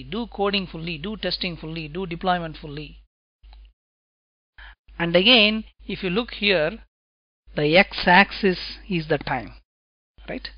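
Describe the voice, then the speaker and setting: A man teaching software testing in a screencast lecture, talking step by step and checking in with "right?" after his points. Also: sits high, no room sound, dull audio. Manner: slow, deliberate explaining, tag questions "right?".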